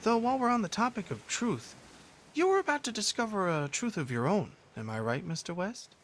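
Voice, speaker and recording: A man playing a smug, probing interrogator in a scripted game scene, polished and deliberate, with a condescending, taunting delivery. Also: high voice, very animated, a little hiss or hum. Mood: sly, taunting. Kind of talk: scripted game cutscene acting.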